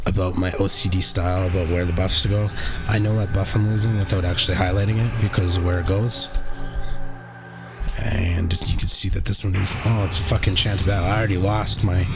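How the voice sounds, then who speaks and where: A man with a deep voice casually commentating his own online game session, thinking aloud and swearing now and then. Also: little pitch movement, normal speed. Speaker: gaming channel host. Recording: game music behind the voice.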